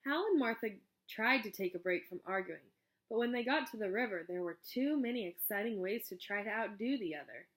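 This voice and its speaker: A woman narrating a children's storybook aloud in an expressive, scripted read-aloud style. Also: mid-range voice, a little fast. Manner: expressive storybook reading with character dialogue.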